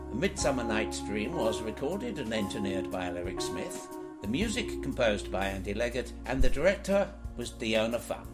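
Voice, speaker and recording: A man announcing scripted closing credits for a radio play. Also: lowish voice. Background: music.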